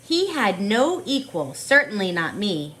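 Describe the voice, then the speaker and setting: A woman teaching on a video call, reading aloud and chatting with children in an expressive, encouraging way. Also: mid-range voice, unhurried, rough recording. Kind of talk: online storybook read-aloud with casual chat.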